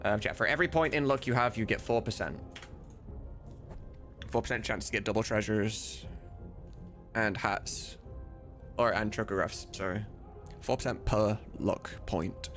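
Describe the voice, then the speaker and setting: A man's voice: fairly low pitch, measured pace, pauses often, a bit bright, soft background music. A man livestreaming as he works, chatting casually with viewers and muttering to himself. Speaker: livestreamer and game modder. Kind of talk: casual chat while working.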